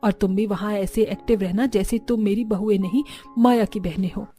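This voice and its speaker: A woman's voice: medium pitch, fairly even intonation, normal speed, mellow, faint music behind, close to an everyday voice. A woman voicing a middle-aged mother-in-law in a cartoon, motherly and given to instructing. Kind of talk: Hindi cartoon voice acting.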